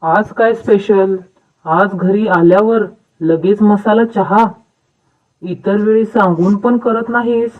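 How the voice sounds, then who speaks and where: A man's voice: high-pitched, fairly even intonation, slow. Casting: man as reasonable, advising young husband for story dub; distant, phone-like sound. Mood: casual, inquiring. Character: devoted, reasonable young husband, protective of his mother. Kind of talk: animated moral story dubbing.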